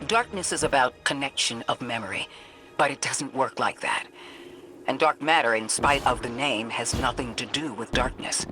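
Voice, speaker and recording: An actor playing a skeptical expert adviser in a sci-fi game, speaking precisely and formally. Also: male voice, mid-range voice, moderate pace, music in the background, lightly acted. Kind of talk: acted game dialogue.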